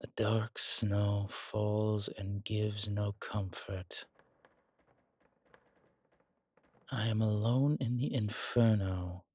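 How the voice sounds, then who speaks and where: Man voicing a slow-spoken, low-voiced, world-weary male demon. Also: steady tone, darkish tone, dry recording. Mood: solemn reciting. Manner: hesitant, measured, musing delivery with dry humour. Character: wry, world-weary male demon investigating a haunted house. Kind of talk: horror roleplay audio, intimate one-sided dialogue.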